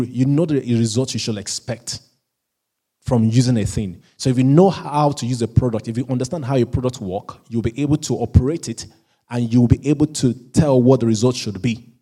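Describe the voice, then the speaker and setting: A pastor preaching a sermon, often repeating and restarting phrases, and chuckling at himself now and then. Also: male voice, medium pitch, slightly rough. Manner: rises into louder, slower emphatic reading of scripture.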